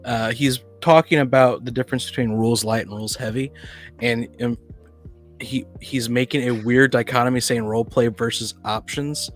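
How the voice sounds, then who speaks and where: A man's voice: medium pitch, fairly even intonation, moderate pace, soft background music. A man speaking as a podcast guest in a casual panel discussion, often thinking aloud.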